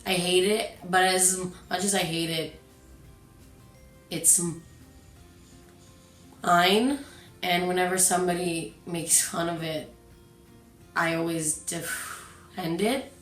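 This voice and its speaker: A woman in her twenties who stutters talks candidly, her frustration plain and her points pressed hard. Her voice sits on the low side for a woman. She sounds a little distant from the mic in a roomy, echoing space, with faint music underneath. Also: fairly even intonation, slow pace, long pauses, a bit bright. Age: 20s. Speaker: video co-host. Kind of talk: casual personal talk.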